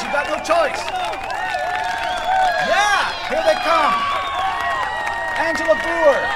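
A man's voice: very high voice, heavy background noise, full character voice. Brash, impassioned man, outdoor ambience. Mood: rousing, hearty. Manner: cocky, profane, impassioned in private, folksy showman on stage. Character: brash foul-mouthed man, head of a celebration foundation, lovestruck. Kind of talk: satirical audio drama acting.

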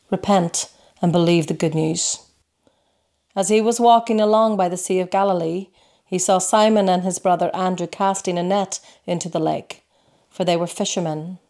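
A woman's voice: lowish voice, a little mellow. A woman of the clergy reading from the Gospel in a formal style.

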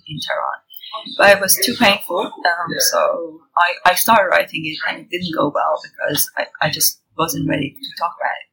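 A bright-toned, gravelly woman from Iran, talking thoughtfully in an interview and often restarting her sentences. There is a faint hum of restaurant noise behind her. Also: on the low side. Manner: reflective, with frequent restarts and fillers. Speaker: guest. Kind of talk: interview conversation. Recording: noisy restaurant recording. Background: faint restaurant ambience.

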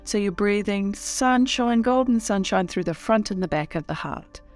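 A woman leading a guided meditation in a soothing, unhurried way with long pauses. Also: mid-range voice, expressive, soft background music.

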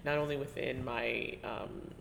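Woman interviewer, gravelly voice, chatty, self-interrupting, reverberant room with faint ambience. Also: a bass voice, fairly even intonation, a little slow, noisy background. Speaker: interviewer, teaching artist. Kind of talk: conversational interview.

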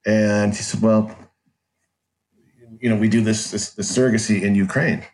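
Low-voiced middle-aged American man. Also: level tone, moderate pace, a little mellow, rough recording. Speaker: podcast guest, author. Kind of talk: conversational personal storytelling.

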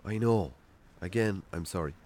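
An actor with an Irish accent playing a troubled, defensive, hard-drinking farmer in a radio drama, gruff, curt and sweary. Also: male voice, low-pitched, normal speed, a little mellow, close to an everyday voice. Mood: defensive, apologetic. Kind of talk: naturalistic radio drama acting.

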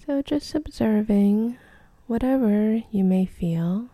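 A woman leading a guided meditation in a gentle, soothing, breathy near-whisper with long pauses. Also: mid-range voice, dry recording.

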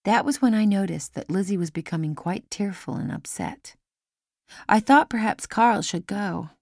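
A woman's voice: medium pitch, normal speed, a little mellow, dry recording, studio quality. A woman reading a first-person story as scripted audiobook narration. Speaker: audiobook reader. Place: dry studio.

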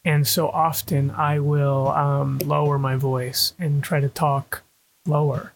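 A young man co-hosting a podcast in casual chat, with a high-pitched voice and a loose, joking way of talking. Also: little pitch movement, takes it slowly, gravelly, slight background noise, rough recording. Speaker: podcast co-host. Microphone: own home recording setup.

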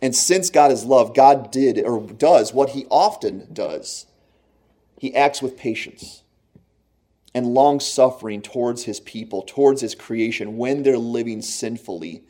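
Pastor, male, warm mellow tone, earnest and conversational, slows down for emphasis. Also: mid-range voice. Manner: earnest, conversational preaching with slow emphatic phrases; laughs at own jokes. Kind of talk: sermon.

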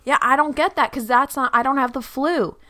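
A woman's voice: high-pitched, fairly quick, pushed and bright. A woman with a head cold, a teacher playing a tabletop game, complaining in a grumpy, sardonic way. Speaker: player; fifth-grade teacher. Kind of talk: casual chat and tabletop play.

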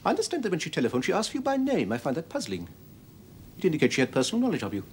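An actor playing a cold, probing SS major, speaking with a slight German accent in a clipped, interrogating way. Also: male voice, on the high side, wildly swooping pitch, rapid-fire, slight background noise. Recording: drama clip with music behind.

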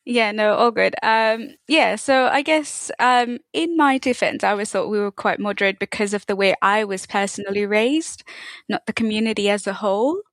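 A woman's voice: mid-range voice, normal speed, a bit bright, dry recording, clean studio sound. A woman from Tanzania, a guest speaking thoughtfully on a video call, with frequent fillers. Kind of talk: conversational interview.

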